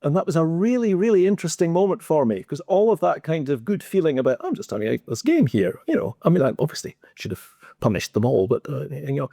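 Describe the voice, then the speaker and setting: A man's voice: fairly high pitch, fast, creaky, no room sound, studio quality. A man with a Scottish accent co-hosting a podcast, telling wry stories from his teaching days in a casual chat. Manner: animated, wry, teasing. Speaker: podcast co-host, former teacher.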